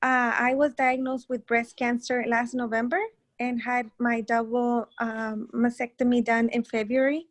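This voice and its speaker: A woman's voice: fairly high pitch, little pitch movement, unhurried. A woman in her forties asking a question in a Q&A session, hesitant with restarts. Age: 40s. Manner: hesitant, with nervous laughs and restarts. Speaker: caller.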